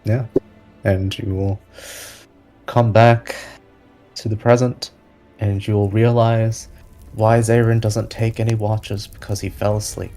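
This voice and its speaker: Low-voiced man as game master. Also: flat delivery, takes it slowly, pauses often, mellow. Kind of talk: improvised narration of tabletop game. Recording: music behind the voice.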